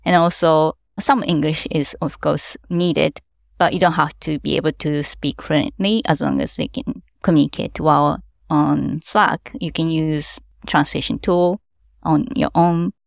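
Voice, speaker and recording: A woman's voice: deep voice, fairly even intonation, a little slow, vocal fry, no echo, studio quality. A woman whose first language is Japanese, answering interview questions in careful non-native English as an event organizer, with light laughs as she explains.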